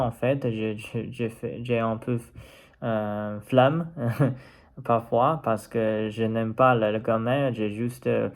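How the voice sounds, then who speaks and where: A man from China, a native Mandarin speaker and language learner, talking unscripted in a personal vlog with a Chinese accent, halting as he searches for words. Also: mid-range voice, vocal fry.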